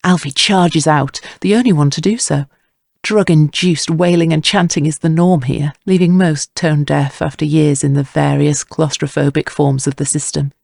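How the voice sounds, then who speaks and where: Male narrator, scripted crime fiction. Creaky, mid-pitched voice, close dry sound. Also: steady tone, moderate pace, a little mellow, dry recording. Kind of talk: scripted audio drama narration.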